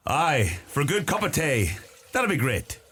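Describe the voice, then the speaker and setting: An actor playing a polite grown son come home, in a radio play. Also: male voice, on the high side, big pitch swings, unhurried, a bit bright, slightly rough, heavily acted. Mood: warm. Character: returning elder son, polite and homecoming.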